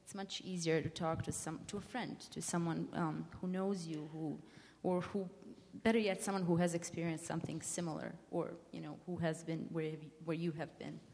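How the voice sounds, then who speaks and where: Young Bosnian woman, low voice, reverberant hall. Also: steady tone, normal speed, a little mellow. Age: young adult. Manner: earnest, reflective, searching for words. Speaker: panelist. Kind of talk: personal testimony on a panel. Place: large hall with an audience.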